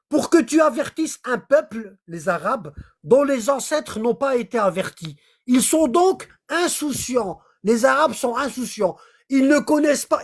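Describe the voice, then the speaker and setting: A livestreamer in casual commentary, explaining scripture in an animated way and often checking in with short questions to the listener. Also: medium pitch. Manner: animated, acts out little dialogues, keeps checking in with "hein?" and "d'accord?". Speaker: livestreamer explaining Quran verses.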